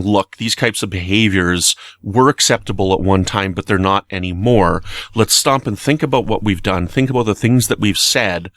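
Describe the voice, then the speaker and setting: A man giving opinionated, conversational podcast commentary in an earnest, emphatic way, sometimes halting as he reasons aloud. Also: fairly low pitch, a bit bright, no room sound, clean studio sound. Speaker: podcast commentator reviewing a TV episode.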